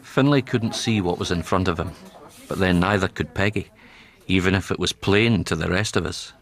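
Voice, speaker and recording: Deep-voiced, grave Scottish doctor. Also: male voice, normal speed. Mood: wry, grave. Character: grave, worldly-wise senior Scottish doctor. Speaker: actor and narrator in a radio drama.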